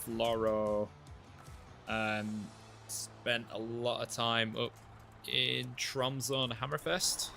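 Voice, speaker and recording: A man chatting casually with his live-stream chat while playing a driving game, relaxed and talkative. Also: medium pitch, extremely slow, vocal fry, soft background music.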